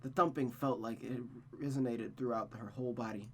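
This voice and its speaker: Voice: mid-range voice, steady tone, a little fast, background noise. A host reading a visual novel aloud in dramatic narration, stumbling and rereading phrases, with casual asides.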